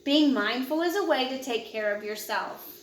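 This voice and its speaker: A woman narrating a children's picture book in a measured, instructional way, phrasing clearly for young listeners. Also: high voice, expressive, echoey room, slight background noise. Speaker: audiobook narrator.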